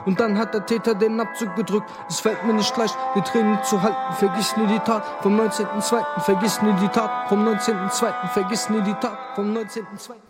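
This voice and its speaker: A man's voice: sits high, level tone, fairly quick, few pauses. A man performing a melodic, sung rap lament. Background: loud music.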